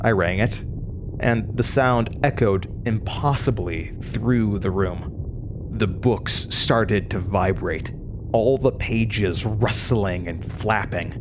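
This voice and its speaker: An actor playing a haunted young male college student with an American accent, narrating horror in a deliberate, intense, dramatic way. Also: fairly low pitch, noisy background. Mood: haunted, suspenseful. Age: young adult.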